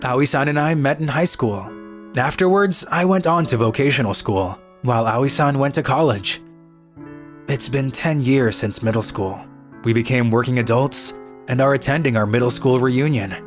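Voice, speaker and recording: A man's voice: mid-range voice, normal speed. A man playing an earnest, kind schoolboy who narrates his own story in scripted animation style. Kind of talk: scripted narration and voiced dialogue. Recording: music behind the voice.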